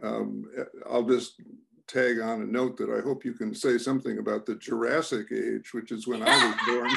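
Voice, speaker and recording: An older man hosting a panel over a video call from his home study, gentle and self-deprecating. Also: mid-range voice, level tone, moderate pace, vocal fry. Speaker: host. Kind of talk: moderating a panel.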